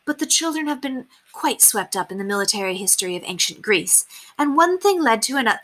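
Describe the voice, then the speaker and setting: A woman acts out a young storybook governess. She speaks softly and politely, measured and tactful, in a fairly high voice. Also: lively intonation. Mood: placating.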